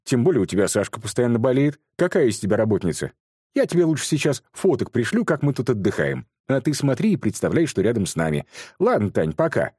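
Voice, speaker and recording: A man's voice: mid-range voice, huge pitch swings, normal speed, warm tone, husky, no echo, studio quality, voice pushed up. A man voicing a boastful, chatty young woman who brags in a breezy, raised voice.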